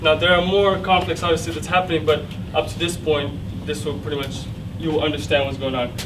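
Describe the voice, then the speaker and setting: Young Ukrainian-accented man, native Russian speaker, student presenter, somewhat high voice, recorded far off in a lecture room. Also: level tone, fairly quick. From central Ukraine. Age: young adult. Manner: explanatory, with frequent fillers and restarts. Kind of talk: classroom presentation with slides. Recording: distant mic with clear background noise.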